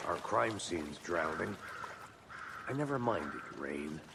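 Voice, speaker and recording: An actor playing a world-weary detective partner in a video game, with a dry, laconic delivery. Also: male voice, low voice, animated, takes it slowly, vocal fry, background noise, full character voice. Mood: dry, wry.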